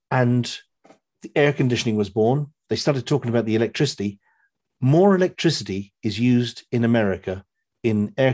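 A man with a husky voice chatting casually, retelling stories in a rambling, animated way with some swearing. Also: medium pitch. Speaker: podcast guest. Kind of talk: casual chat, retelling a story.

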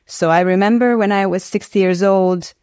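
A woman's voice: medium pitch, steady tone, moderate pace, darkish tone, smooth, no echo, studio quality. A woman in her forties from Hungary, a native Hungarian speaker with a Hungarian accent, telling her story earnestly and reflectively in an interview. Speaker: guest actress.

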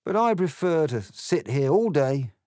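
A man's voice: a little high, very animated, a little slow, dark tone, no echo, clean studio sound, pitched above the performer's own voice. Man voicing a languid, sleepy, bored caterpillar. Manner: languid, drawling, unimpressed. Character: languid, bored male caterpillar smoking a hookah.

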